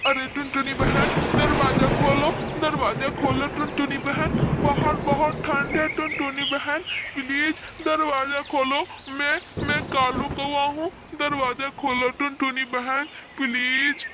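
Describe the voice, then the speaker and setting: Performer as male cartoon crow, lazy, whiny, dramatic, repetitive phrasing, loud background noise. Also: high voice, little pitch movement, unhurried, few pauses. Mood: pleading, urgent. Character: lazy, grumpy male crow who turns pleading. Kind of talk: Hindi children's cartoon voice acting. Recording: very noisy track with background ambience.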